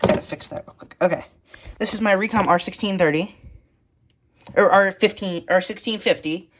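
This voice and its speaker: A woman's voice: mid-range voice, normal speed. A woman giving a casual, matter-of-fact show-and-tell of a hobby collection in short, clipped phrases, recorded indoors at home.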